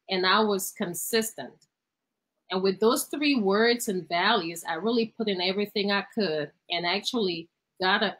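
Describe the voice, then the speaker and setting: A woman's voice: mid-range voice, fairly bright tone, low-quality recording. A middle-aged woman from Cameroon, a guest in a studio interview, speaking earnestly and motivationally and sometimes repeating words while she searches for them. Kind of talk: conversational interview answer. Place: television studio.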